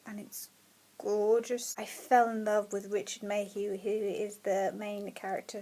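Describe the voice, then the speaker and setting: A woman's voice: mid-range voice, darkish tone. A woman from the UK chatting casually and enthusiastically to camera about books she loves, laughing often. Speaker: book vlogger.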